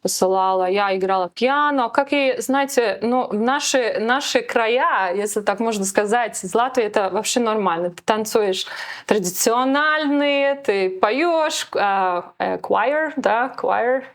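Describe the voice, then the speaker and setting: A woman's voice: on the high side, measured pace. A young woman with a Latvian accent, speaking as an interview guest; she hesitates with many fillers and slips English words into her speech. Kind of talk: casual interview chat.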